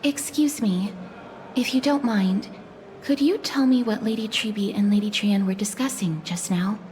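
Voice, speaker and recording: An actress playing a reserved, polite and gentle young noblewoman in a video game, speaking formally and softly. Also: mid-range voice, moderate pace, a little hiss or hum, natural voice. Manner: formal, courteous, soft-spoken. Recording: music behind the voice.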